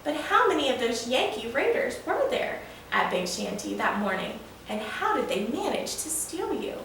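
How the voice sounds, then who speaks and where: A woman's voice: on the high side, very animated, normal speed, gravelly, a bit bright, heavily acted. Woman reading as curious Big John, very echoey. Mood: eager, interested. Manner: curious, eager questioning. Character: Big John, curious admiring male listener. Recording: echoey room, distant mic, faint noise.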